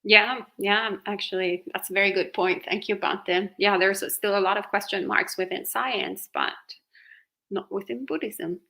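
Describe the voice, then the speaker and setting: A woman with an Italian accent hosting a casual live question-and-answer chat, talkative and quick to laugh. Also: mid-range voice, steady tone, vocal fry, bright, forceful tone. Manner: chatty, laughs often, fillers like "you know". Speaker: host reading viewer questions.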